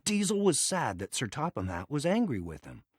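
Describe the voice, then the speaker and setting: A man reading a children's read-along story as a scripted, dramatic and expressive narration. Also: mid-range voice, normal speed, husky, no room sound, clean studio sound.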